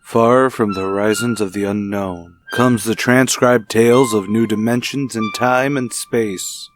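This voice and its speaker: An actor playing a grave, dramatic announcer and narrator of retro sci-fi radio, solemn and portentous. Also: male voice, on the low side, fairly even intonation, a little slow, over music, lightly acted. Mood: grand announcing.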